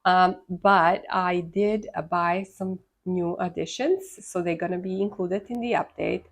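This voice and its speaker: A woman with a Serbian accent hosts a casual plant vlog. Her voice sits fairly low and has a strongly creaky, gravelly edge. She sounds congested from a sinus infection as she chats. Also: fairly even intonation, unhurried. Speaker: host of a succulent gardening channel.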